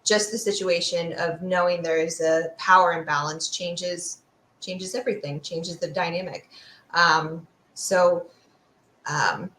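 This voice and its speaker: A woman's voice: fairly low pitch, fairly even intonation, measured pace, a bit bright, slight background noise. A woman speaking as an expert interview guest, explaining things in a thoughtful, discursive, academic way. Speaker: guest expert, fear sociologist. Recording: roomy, echoey sound.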